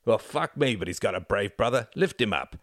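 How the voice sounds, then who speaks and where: A man voicing a huge, menacing, foul-mouthed working man with a gruff, deliberate manner, in a story reading. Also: fairly low pitch, lively intonation, fairly bright tone, no echo, studio quality, pitched above the performer's own voice. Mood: rough amusement.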